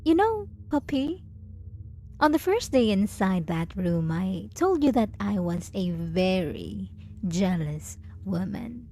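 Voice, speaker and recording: A woman playing a jealous, possessive yet doting lover in an intimate ASMR roleplay, teasing and condescending with deliberate pauses. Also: mid-range voice, sing-song, mellow. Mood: jealous, reproachful. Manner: slow, teasing, condescending pet-name cooing with deliberate pauses.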